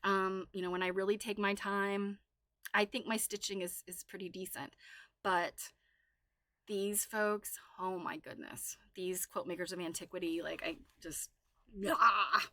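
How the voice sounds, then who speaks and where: A woman's voice: mid-range voice, steady tone, unhurried, sharp tone, no echo. A woman hosting a casual livestream, chatting and reading aloud in an enthusiastic, exclaiming way. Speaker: livestream host.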